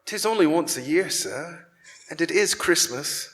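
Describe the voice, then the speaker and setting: A man's voice: on the high side, animated, a little slow, fairly bright tone. A man playing a timid, deferential office clerk in a live radio play, speaking hesitantly. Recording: live in echoey church hall.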